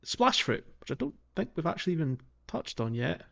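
A man's voice: on the high side, very animated, brisk, vocal fry, fairly bright tone, lo-fi sound. A man co-hosting a casual video game podcast, talking in an informal, somewhat hesitant way. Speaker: podcast host.

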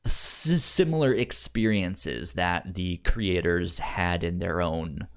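A man with a fairly low voice talks slowly and casually about a film on a podcast. His speech is halting, and he stutters, repeating sounds and words as he works through his thoughts. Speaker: podcast host. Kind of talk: casual movie discussion.

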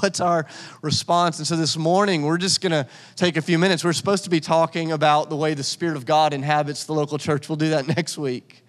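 Man, lead pastor, conversational sermon, slightly high voice, hall reverb. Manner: conversational, warm pastoral delivery with restarts. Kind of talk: sermon and guest introduction. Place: church sanctuary. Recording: long church hall reverb.

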